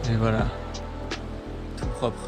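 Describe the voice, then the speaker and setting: A young man who is sick with a cold and a sore throat, chatting tiredly to his viewers while touring outdoors by bike. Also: slow pace. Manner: chatty, tired, says "les gars" to viewers. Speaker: touring cyclist vlogger. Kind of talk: casual vlog talk to camera. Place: outdoors on the road. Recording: loud music over the talk. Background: loud background music.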